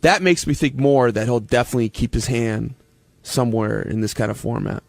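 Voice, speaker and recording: A man on a radio panel, talking casually and haltingly, restarting his phrases as he gives his view. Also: medium pitch, gravelly, no room sound. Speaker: radio show staff panelist. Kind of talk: casual unscripted discussion. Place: radio studio. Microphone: close studio microphone.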